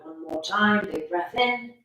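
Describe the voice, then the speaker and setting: A meditation teacher guiding listeners gently and soothingly, with drawn-out phrases and pauses. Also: medium pitch. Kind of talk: guided meditation instruction. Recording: very echoey room, distant from mic.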